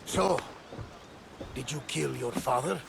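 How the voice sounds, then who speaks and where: A man playing a loyal, wry older sailor with a gravelly voice and a Greek accent in a video game cutscene. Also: mid-range voice, slow pace, background noise. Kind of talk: dramatic game cutscene acting.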